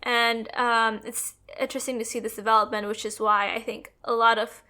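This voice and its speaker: Woman podcast cohost, kinda high voice, earnest, talks in long explainer runs. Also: level tone, normal speed, sharp tone. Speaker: podcast co-host. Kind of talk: casual political discussion.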